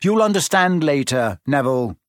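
A man's voice: on the high side, sing-song, moderate pace, no echo, studio quality. A man voicing a blunt, impatient, exasperated schoolboy in a storybook reading.

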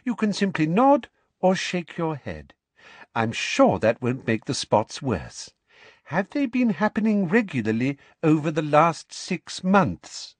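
A man voicing a simpering, falsely sweet middle-aged female official in a high, coaxing tone for an audiobook. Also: wildly swooping pitch, slow, dry recording, heavily acted. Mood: sugary.

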